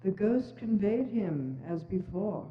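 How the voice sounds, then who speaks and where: A woman with a medium-pitched voice narrates a classic story from a script. The sound is echoey and somewhat dull, as in a church hall. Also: very animated, slow.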